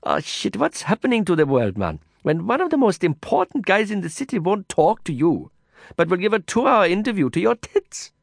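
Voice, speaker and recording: A man's voice: high-pitched, wildly swooping pitch, normal speed, gravelly, no room sound, clean studio sound, voice pushed up, heavily acted. A man voicing a brash young female city reporter, sassy and profane. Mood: mocking.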